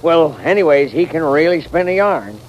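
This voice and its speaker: An actor playing a folksy, gullible saloon keeper with a rustic American Western way of talking, in radio drama. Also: male voice, on the high side, lively intonation, moderate pace, muffled recording, natural voice. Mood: impressed, folksy. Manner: rustic, colloquial Old West drawl. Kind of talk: old-time radio western acting. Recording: noisy vintage radio recording.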